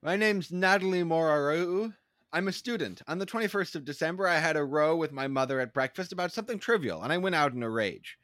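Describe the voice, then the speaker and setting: A man reading aloud as a quick-tempered young woman student giving a wry account. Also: on the high side, expressive, a bit bright, no room sound, clean studio sound, voice pushed up.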